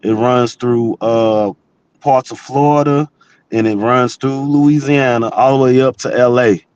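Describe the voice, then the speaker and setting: A man's voice: medium pitch, steady tone, measured pace, darkish tone, clear, resonant tone, dull audio. A man with a mid-range voice talks casually on an open panel. His delivery is loose and rambling, full of slang and swearing. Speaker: panel participant. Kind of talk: casual group debate chat.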